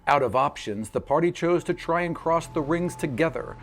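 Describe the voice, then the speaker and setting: A man's voice: a little high, moderate pace. A man working as game master, narrating a tabletop fantasy game in a dramatic, descriptive way. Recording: loud music under the voice. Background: music.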